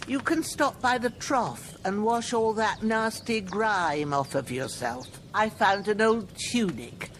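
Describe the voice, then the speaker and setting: A gruff old village healer. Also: female voice, fairly low pitch, slight background noise. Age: older. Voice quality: folksy, scolding, plain rustic speech. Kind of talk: video game dialogue.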